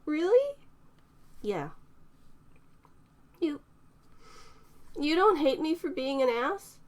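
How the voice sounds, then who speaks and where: A woman's voice: sits high, sing-song, very slow, pitched above the performer's own voice, heavily acted. A woman voicing an emotional young woman who is new to her powers, prone to sweary outbursts. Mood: tearful. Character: young woman, newly powered, excitable and tearful.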